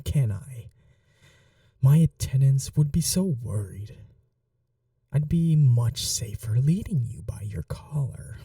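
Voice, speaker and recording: Casting: woman to play possessive vampire mistress for ASMR roleplay, very soft dark tone, slow teasing delivery, breathy whispers. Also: very deep voice, dry recording, studio quality, distant mic, exaggerated voice. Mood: coaxing, playful. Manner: teasing, coaxing, unhurried. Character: cruel, doting vampire mistress toying with her captive.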